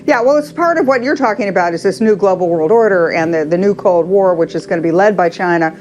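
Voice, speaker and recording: A woman's voice: on the low side, fast talker, darkish tone, soft background music. An American woman giving conversational, explanatory analysis as a guest in a broadcast news interview.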